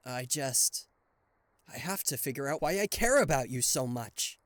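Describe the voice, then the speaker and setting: A man playing a charismatic, flustered king of hell as a boyfriend in an ASMR roleplay audio drama, with a theatrical, halting delivery. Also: medium pitch, expressive, dry recording, lightly acted. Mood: exasperated, lovestruck. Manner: theatrical, hesitant stammering, exasperated sighs.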